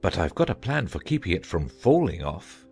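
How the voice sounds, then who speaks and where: Man voicing a eccentric old knight, storybook character, fussy halting delivery, very animated swooping pitch, music behind. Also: creaky, breathy edge, heavily acted. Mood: earnest, proud. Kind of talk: dramatized audiobook character acting.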